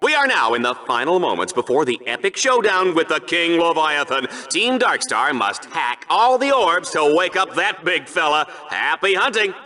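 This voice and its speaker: Brash, high-pitched, hammy sportscaster. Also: male voice, very animated, normal speed, few pauses, pushed and bright. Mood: announcing. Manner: bombastic showman delivery. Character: brash, hammy male game-show sportscaster, gleefully bloodthirsty. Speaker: arena show commentator. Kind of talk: sports commentary in a video game.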